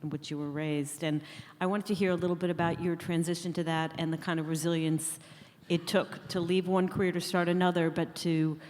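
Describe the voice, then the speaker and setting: A woman's voice: on the low side, level tone, fairly quick, a little mellow. Woman moderator with hall reverb. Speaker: panel moderator. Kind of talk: moderating a panel. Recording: long reverb of a conference hall.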